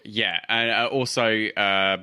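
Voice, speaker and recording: Man, game master, clear forward voice, casual improvised narration, dry close sound. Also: lowish voice, fairly even intonation, slow, sharp tone, dry recording.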